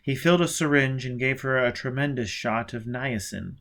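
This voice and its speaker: A man reads a novel aloud in a measured, subdued voice. His pitch is middling and even. The delivery is plain scripted narration. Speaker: audiobook narrator.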